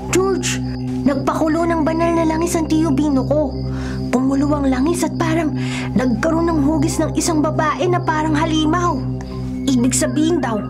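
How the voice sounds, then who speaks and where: Woman as young boy, best friend, high pitch, Filipino accent, eager, confiding, radio drama. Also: fairly even intonation, normal speed, lightly acted. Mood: urgent, helpful. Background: background music.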